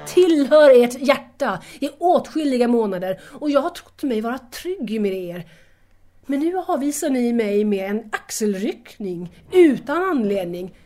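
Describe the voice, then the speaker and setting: A woman's voice: fairly high pitch, a little mellow. A woman playing a hurt, anxious young woman in an audio drama, speaking earnestly and formally to estranged lovers. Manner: earnest, wounded, formal address.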